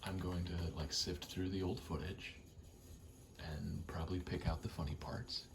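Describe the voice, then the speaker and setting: A man with a very deep, creaky voice rambles softly in an ASMR style, often slipping into a breathy whisper. He is casual, sweary and self-deprecating. A rattling vent adds clear noise behind him. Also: fairly even intonation, moderate pace. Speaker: video creator rambling to camera. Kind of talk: soft-spoken ASMR ramble.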